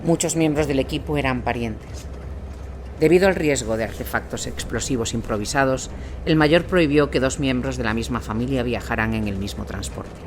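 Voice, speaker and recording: A man's voice: medium pitch, normal speed, background noise. A man delivers scripted dramatic narration of a war story. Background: instrumental music.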